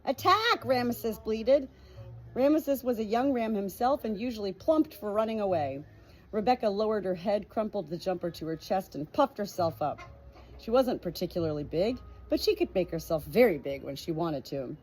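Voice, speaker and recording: A woman reads a storybook aloud in a husky voice at a middle pitch. She follows a written text as an audiobook narrator. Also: animated, normal speed, warm tone, rough recording. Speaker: audiobook reader.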